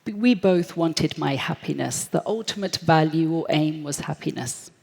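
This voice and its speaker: Woman from Britain, lively storyteller, gravelly voice, long hall reverb. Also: low-pitched, a little slow. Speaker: speaker at a forum. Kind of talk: storytelling talk. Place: large hall.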